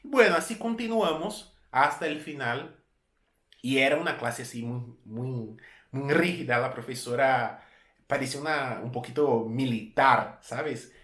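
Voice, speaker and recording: A man's voice: medium pitch, unhurried, echoey room. A Brazilian man in his thirties, a native Portuguese speaker with a Brazilian accent, casually telling a story to camera as a travel vlogger and often correcting himself mid-sentence. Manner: relaxed, repeats and corrects words mid-sentence.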